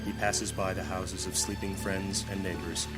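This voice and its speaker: A man performing as the solemn storyteller of a high fantasy audio drama, reading scripted dramatic narration. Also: flat delivery, normal speed, lightly acted. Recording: music behind the voice.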